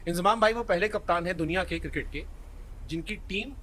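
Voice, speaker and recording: A man's voice: high-pitched, a little fast, a bit bright, low-quality recording. A man interviewing in a casual, chatty style, agreeing and teasing as he goes.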